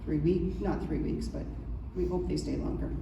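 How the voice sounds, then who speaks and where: A woman's voice: deep voice, fairly even intonation, moderate pace, vocal fry, mellow. A woman on a panel speaking about a housing program in a chatty, self-deprecating way. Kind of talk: panel answer. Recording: roomy, distant mic, faint noise.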